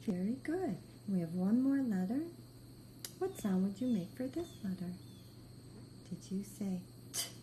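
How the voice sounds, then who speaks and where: A woman with a very mellow, dark tone leads a beginner phonics lesson at a slow pace. She gives short, patient instructions and repeats her praise often. There is some faint noise in the recording. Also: mid-range voice, expressive, poor audio. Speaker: reading teacher.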